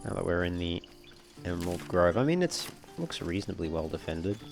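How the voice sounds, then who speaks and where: Low-voiced man doing game commentary. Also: very animated, a little fast, creaky. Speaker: let's play host. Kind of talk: casual gameplay commentary, reading game dialogue aloud. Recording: game music faintly behind the voice. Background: video game music.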